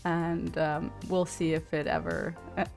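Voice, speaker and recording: A woman's voice: deep voice, little pitch movement, measured pace, creaky, a little mellow, soft background music. A woman, a podcast co-host in a casual interview, who talks hesitantly with many fillers and frequent laughs.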